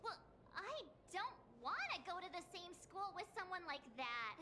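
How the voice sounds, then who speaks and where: A woman's voice: extremely high pitch, sing-song, moderate pace, pushed and bright, slight background noise, heavily acted. A woman voicing a perky, bewildered teenage schoolgirl in an anime-style game, exclamatory and cute, with music behind her. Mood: confused, indignant.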